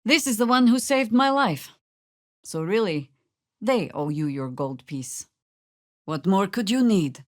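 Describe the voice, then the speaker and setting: A woman's voice: mid-range voice, lively intonation, measured pace, pauses often, dry recording, lightly acted. A woman voicing a seasoned, wry, battle-hardened druid veteran in a fantasy video game, speaking in a dry, world-weary, commanding way. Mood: resolute.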